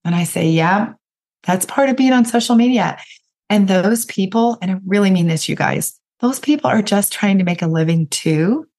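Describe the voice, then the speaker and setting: Raspy-voiced woman coaching her listeners. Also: medium pitch, expressive, normal speed, a little mellow. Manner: direct, encouraging, addresses listeners as you guys. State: raspy from a cold. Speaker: podcast host and business coach. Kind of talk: solo podcast teaching.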